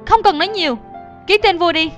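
A woman's voice: extremely high pitch, fairly quick, soft background music. A woman playing an angry, hurt wife in a dubbed cartoon, speaking in an accusing, demanding way. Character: angry, hurt wife confronting her absent husband. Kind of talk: dubbed animation acting.

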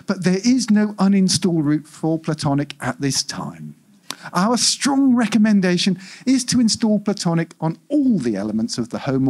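A man voicing a polite, implacable customer-service AI in a live story reading, with smooth scripted corporate patter. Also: high-pitched, darkish tone, heavily acted. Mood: bland, implacable.

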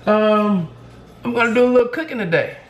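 A man in his thirties with a drawl, chatting easily and drawing out his "um"s as he cooks. His voice sits a bit high and sounds distant, picked up by a camera several steps away in an echoey kitchen. Loud music plays underneath. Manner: laid-back, chatty, drawn-out filler words. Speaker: vlogger cooking at home. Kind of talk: casual cooking vlog, talking to camera. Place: home kitchen, indoors. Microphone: camera on the counter, several steps away.

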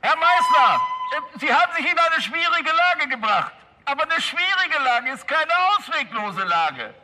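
An actor playing a pompous, awkward police chief negotiating through a megaphone, halting and officious. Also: male voice, extremely high pitch, sing-song, sharp tone, heavily acted. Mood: strained diplomacy. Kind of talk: television crime comedy acting.

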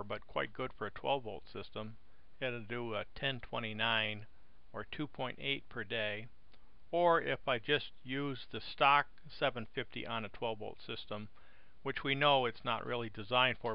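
A man casually walking through a screen-recorded explainer, plainspoken and relaxed, pausing often with "uh". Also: medium pitch, gravelly, clean tone, dry recording, highs cut off. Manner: relaxed, plainspoken, with frequent "uh" pauses. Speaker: video host explaining wind turbines.

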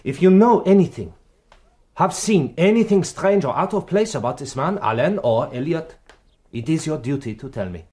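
A man's voice: a little high, expressive, normal speed, dark tone, lightly acted. Guy playing detective in a radio drama, keeps pressing, voice goes up and down a lot. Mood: stern, pressing. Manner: probing, pressing, repeating questions. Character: persistent French police inspector questioning children, impatient and insistent.

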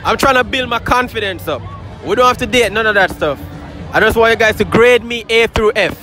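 A man's voice: sits high, a little fast. A young man with a Jamaican accent, brashly joking his way through a street interview at a fairground. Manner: brash, playful, teasing. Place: busy outdoor fairground. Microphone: handheld phone mic. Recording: loud crowd and music behind.

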